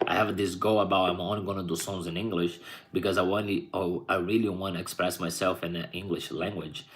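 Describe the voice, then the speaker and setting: A man's voice: deep voice, fairly even intonation, fairly quick. A man from Brazil with a Brazilian Portuguese accent, a rapper talking casually to his viewers and often adding "right?". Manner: rambling, frequent "right?" tags. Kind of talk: casual vlog chat.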